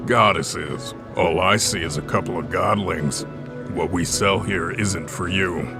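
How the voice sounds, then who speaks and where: A man's voice: low voice, measured pace, creaky. A man playing a massive, stern Cyclops bartender in a fantasy audio drama, dismissive and threatening. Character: gruff, massive one-eyed Cyclops bartender, stern and principled. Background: faint music.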